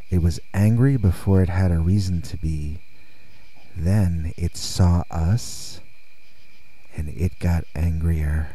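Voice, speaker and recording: Very deep, dark-voiced male horror narrator. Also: poor audio. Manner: slow, deliberate, with drawn-out dramatic pauses. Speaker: narrator reading scary stories. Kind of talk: scripted first-person horror narration.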